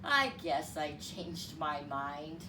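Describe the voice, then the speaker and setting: A woman reading a story aloud to children in an expressive, deliberate, teacherly way. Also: sits low. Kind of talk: expressive read-aloud storytelling with counting lesson. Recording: roomy, distant mic with clear background noise.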